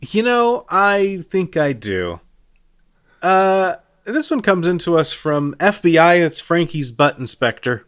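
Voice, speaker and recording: A man's voice: high voice, sing-song, takes it slowly, a bit bright, clean tone. A man co-hosting a podcast in casual comedic chat with a wry, dry sense of humor. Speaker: podcast co-host.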